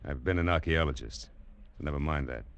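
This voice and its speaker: A man playing a greedy, gruff, scheming treasure-hunting rogue in a 1940s radio drama, pushy and insistent. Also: very deep voice, brisk, muffled recording. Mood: greedy, persuasive.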